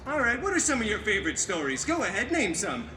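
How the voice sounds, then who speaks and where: A man's voice: sits high, wildly swooping pitch, very noisy. A man playing a campy, vain, wisecracking masked antihero who tells a story live, with theatrical delivery and sarcastic asides. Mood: wry, sarcastic. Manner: hammy, sarcastic, swooping between dramatic delivery and quick asides. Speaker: theme park character performer telling a story to children. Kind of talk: theatrical live comic storytelling with crowd banter. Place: live theme park stage show. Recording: echoey live show, loud music behind. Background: loud music.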